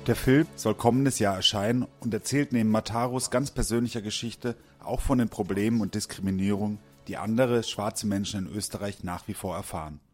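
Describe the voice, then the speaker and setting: A man reading a scripted narration for a culture report in a measured, even way. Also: on the low side, faint music behind.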